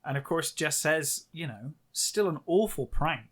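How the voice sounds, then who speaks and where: Higher-voiced man chatting casually. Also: expressive, a little slow, a bit bright, slightly rough. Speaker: podcast co-host. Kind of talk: casual book recap chat.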